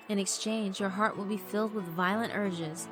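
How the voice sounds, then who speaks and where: A woman's voice: medium pitch, close to an everyday voice. A woman voicing a scheming yokai sister who taunts and gloats in a theatrical, cackling manner. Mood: taunting. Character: gleeful scheming yokai sister, cruel and mocking.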